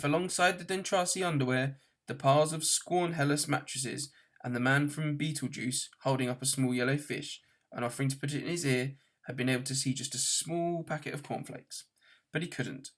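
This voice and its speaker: A man's voice: mid-range voice, a bit bright. A man reading a novel aloud as scripted storybook narration. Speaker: audiobook reader.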